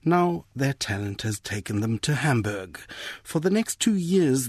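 A male radio reporter reading a scripted news feature in a steady, clear way. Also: mid-range voice, no echo, clean studio sound.

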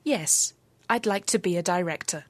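A woman reporter reading a scripted radio news feature in a measured, even way. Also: on the low side, dry recording.

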